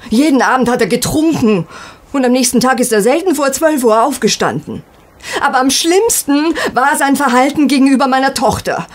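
A woman's voice: sits high, animated, normal speed. An actress playing a proper, protective middle-aged landlady in a radio play, speaking earnestly. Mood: disapproving. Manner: earnest, proper, narrating in detail. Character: proper, protective middle-aged mother running a boarding house. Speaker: actor in an audio drama. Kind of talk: German radio play dialogue.

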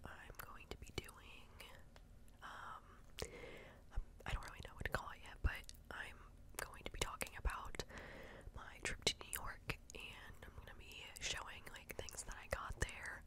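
A woman in her twenties whispering a rambling ASMR storytime, a little congested, with lots of ums and likes, close on a studio mic in a dark room. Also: normal speed. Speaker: ASMR creator. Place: dark bedroom, indoors.

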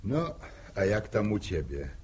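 Old village farmer, low and husky, swoopy folksy delivery, old radio play. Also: male voice, big pitch swings, a little mellow, full character voice. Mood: relaxed, folksy. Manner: unhurried, rustic folksy phrasing. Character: easygoing older village farmer, amateur bandsman and father. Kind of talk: 1960s radio drama acting. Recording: old 1960 radio drama recording.

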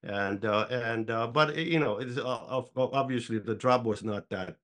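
A man from Finland with a Finnish accent, a podcast host talking on a video call, halting with many restarts. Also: medium pitch, a bit bright. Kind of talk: casual interview talk.

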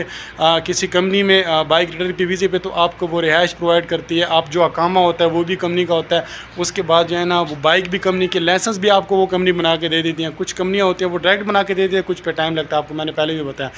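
High-pitched young man vlogging outdoors. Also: a bit bright. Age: young adult. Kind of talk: informal explainer vlog to camera. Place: open rooftop outdoors in daylight. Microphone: phone at arm's length. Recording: outdoor ambient noise.

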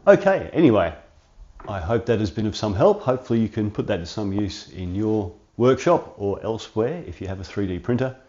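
A man in his forties with a cold and sniffles, chatting through a hands-on workshop tutorial in a relaxed, rambling way from his home garage. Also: lowish voice, brisk, highs cut off. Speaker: workshop channel host.

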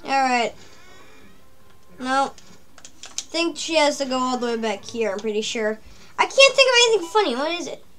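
A woman's voice: high-pitched, very animated, moderate pace, long pauses, fairly bright tone, a little hiss or hum. A woman giving casual, jokey running commentary while she plays a video game, chatting to herself as she goes. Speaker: let's play gamer.

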